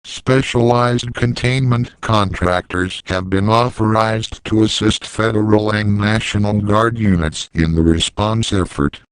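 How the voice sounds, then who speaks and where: A man playing a calm, stern 1980s civil defense announcer, reading a formal emergency message in a measured, deadpan, official manner. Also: fairly low pitch, smooth, no room sound, close to an everyday voice, poor audio. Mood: grave, urgent.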